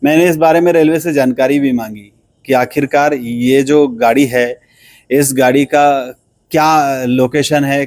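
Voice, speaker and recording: A man's voice: on the high side, a little mellow, no echo. A man working as a news reporter, giving spoken commentary directly to viewers.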